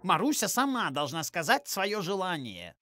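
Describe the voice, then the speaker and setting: Wildly animated cartoon magic cat. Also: male voice, sits high, normal speed, very noisy. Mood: explaining. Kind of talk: children's cartoon acting.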